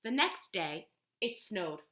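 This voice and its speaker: A woman teaching preschool, reading a picture book aloud with expressive, animated storytelling and pausing to ask the children questions. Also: medium pitch, slight background noise. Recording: echoey room.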